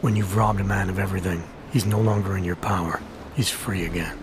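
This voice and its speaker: An actor playing a calm, terse augmented agent with a dry manner in a video game cutscene. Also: male voice, low voice, brisk, over music, natural voice. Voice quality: terse, gravelly calm delivery.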